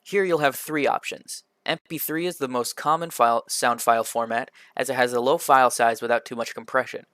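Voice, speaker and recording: Male tutorial presenter, mid-pitched voice, scripted instructional delivery, occasional word stumbles, dry room-free sound. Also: fairly even intonation, a little fast, few pauses, a bit bright, smooth, dry recording. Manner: instructional, step-by-step, occasionally stumbles over words. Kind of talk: scripted software tutorial narration. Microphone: computer microphone in a quiet home room, voice-over.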